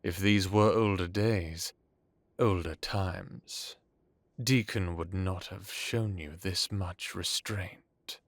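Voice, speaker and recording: A man playing an ancient, courtly vampire lord in an audio drama, calmly authoritative, with formal, genteel phrasing. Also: low-pitched, slow pace, dry recording. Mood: gracious.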